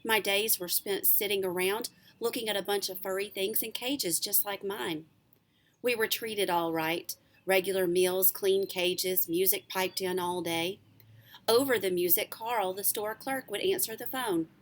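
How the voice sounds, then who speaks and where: A woman reads a children's story aloud as a teacher would to young pupils. Her voice sits at an average pitch for a woman, and her delivery is expressive and animated. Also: unhurried, slight background noise. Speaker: elementary school teacher reading aloud.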